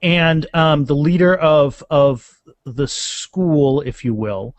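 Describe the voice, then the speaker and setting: A middle-aged man speaking casually as an author guest in an interview, animated and often repeating phrases as he goes. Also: on the high side, slow, dry recording.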